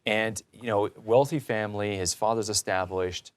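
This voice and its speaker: A man with a fairly low voice hosts a conversational talk show. He speaks with emphasis and clear enthusiasm, as if explaining things directly to his audience. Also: steady tone, moderate pace.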